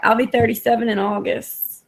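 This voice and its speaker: A woman in her thirties chatting and rambling on a group call, with a gravelly, husky voice. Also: a little high, flat delivery, normal speed. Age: 30s. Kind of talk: casual late-night group chat. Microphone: online group video call.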